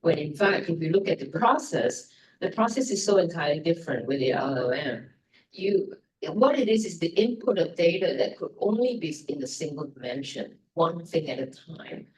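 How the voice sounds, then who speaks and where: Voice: mid-range voice, animated, moderate pace, a little mellow. A conference panelist offering rambling remarks with many fillers and repeated words. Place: conference room. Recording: voice from a distance in the room.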